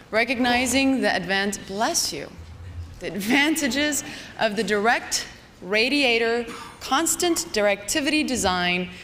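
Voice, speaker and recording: A woman reads formal award citations as a ceremony presenter. Her mid-pitched voice rings through a large, reverberant hall, with some faint noise in the recording. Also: fairly bright tone. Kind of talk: scripted award citation read aloud. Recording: echoey hall PA, background noise.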